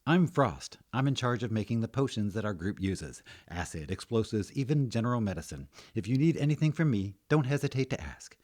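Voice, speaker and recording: A man's voice: on the low side, very animated, fairly quick, few pauses, a little mellow, voice pushed up. A man voicing a friendly female potion maker in a fantasy story, given to eager rambling.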